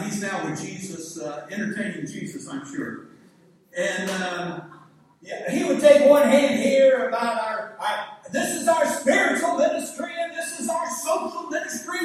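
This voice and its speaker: A man's voice: high voice, big pitch swings, a little slow, slight background noise. A man in his sixties with a Southern US accent, preaching a sermon in a folksy, joking, rambling way. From Southern United States. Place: church hall. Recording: echoey hall mic from far off.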